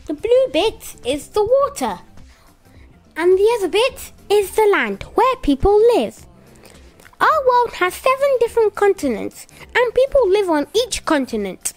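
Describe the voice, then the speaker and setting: A woman voicing an eager little child puppet, presenting slowly and carefully in a children's puppet show. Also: very high voice, very animated, soft background music, pitched above the performer's own voice, exaggerated voice. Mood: eager, informative. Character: little child puppet Elle, eager young geography helper.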